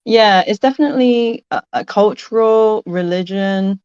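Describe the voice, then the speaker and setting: A woman from London, a podcast guest who answers thoughtfully, pausing and restarting phrases. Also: mid-range voice, a little mellow, clear, resonant tone, no room sound, highs cut off. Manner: thoughtful, hesitant, restarts phrases and laughs often. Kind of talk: casual interview conversation.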